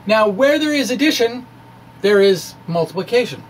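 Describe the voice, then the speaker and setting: Male science blogger, reading his essay aloud with hesitant, thoughtful asides and lively intonation, slight noise behind. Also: high-pitched, normal speed.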